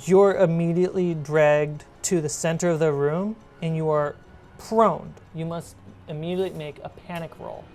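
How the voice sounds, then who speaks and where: A woman's voice: deep voice, fairly even intonation, normal speed, darkish tone. A woman acting as game master, narrating a tabletop role-playing game in a casual, conversational way. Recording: music behind the voice. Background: music.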